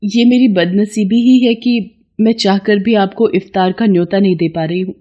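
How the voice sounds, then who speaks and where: A woman voicing a humble, poor widowed mother in a cartoon story, speaking gently, politely and plaintively. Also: mid-range voice, steady tone, normal speed, muffled recording, close to an everyday voice. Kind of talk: cartoon moral story acting.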